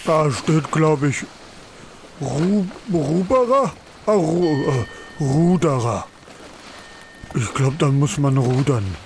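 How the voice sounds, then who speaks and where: A man's voice: fairly high pitch, expressive, takes it slowly, pauses often, pitched above the performer's own voice. Man acting a dim muscleman pirate, dragging pace, unsure, faint background noise, audio play. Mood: puzzled. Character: slow-witted, muscle-bound pirate rower.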